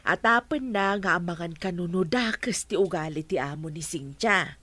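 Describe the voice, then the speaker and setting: A woman's voice: lowish voice, normal speed, a bit bright. A woman with an Ilocano accent voicing a worried, scolding middle-aged mother in a radio drama.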